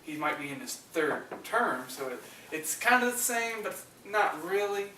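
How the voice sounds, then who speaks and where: Male co-host for casual film chat, bright, forceful delivery, recorded at a distance from the mic. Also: sits high, sing-song, moderate pace. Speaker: podcast co-host. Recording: echoey room, distant mic, faint noise.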